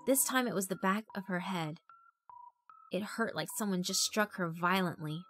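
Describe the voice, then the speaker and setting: Bright-voiced woman narrating horror over music. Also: mid-range voice, normal speed. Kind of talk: scripted dramatic horror story narration. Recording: background music under the voice.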